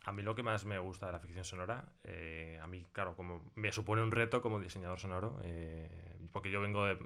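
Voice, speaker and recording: Hombre español de voz grave. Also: male voice, low voice, a bit bright. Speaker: interview guest, sound designer. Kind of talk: casual interview conversation.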